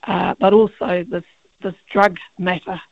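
A woman with a croaky, gravelly voice, a barrister speaking as a guest on a radio interview over the phone, halting with many fillers and restarts. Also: fairly low pitch, steady tone, no room sound. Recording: tinny phone line.

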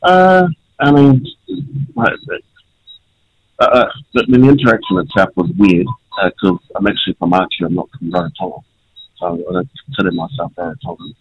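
Gravelly New Zealand man on a phone line. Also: lowish voice, moderate pace, no room sound. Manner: impassioned, pointed. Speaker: politician guest. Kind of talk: phone-in interview. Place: on a train.

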